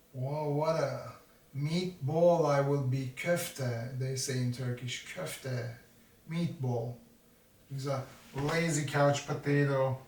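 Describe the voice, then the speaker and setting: A man in his fifties rambling in a slow, self-mocking monologue to his vlog viewers, making jokey asides to himself. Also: medium pitch, a little mellow, a little hiss or hum. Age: 50s. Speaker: vlogger. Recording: roomy, from a distance.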